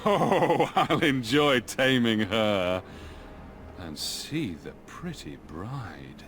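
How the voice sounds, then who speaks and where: An actor playing an arrogant, lecherous villain lord with a smug, mocking, drawling delivery in a video game. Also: male voice, medium pitch, animated, extremely slow, fairly bright tone, a little hiss or hum. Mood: lecherous menace.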